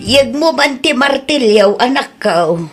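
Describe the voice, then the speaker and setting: A woman with an Ilocano accent playing a scolding, worried older village mother in an amateur audio drama, with a husky, expressive voice. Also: high voice, takes it slowly, over loud music. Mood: nagging, worried. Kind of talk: amateur radio-style drama acting.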